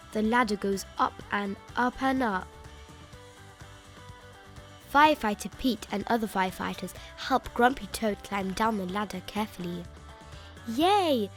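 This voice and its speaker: A woman reading a children's picture book aloud in an expressive, emphatic way, stretching out key words. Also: medium pitch. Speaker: children's story reader. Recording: music bed behind the voice.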